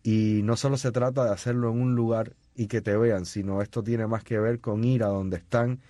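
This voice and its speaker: A man's voice: fairly low pitch, steady tone, moderate pace, dark tone, no echo. A man being interviewed about his music and school shows, telling long stories with frequent restarts and fillers. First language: not Spanish? no. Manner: storytelling with many restarts and fillers. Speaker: musician guest. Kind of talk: conversational interview.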